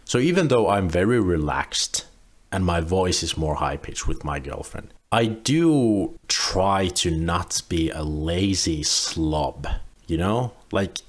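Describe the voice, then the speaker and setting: A young man, relaxed and rambling, commenting on a video clip he is reacting to. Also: sits low, takes it slowly. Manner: relaxed, rambling with frequent restarts.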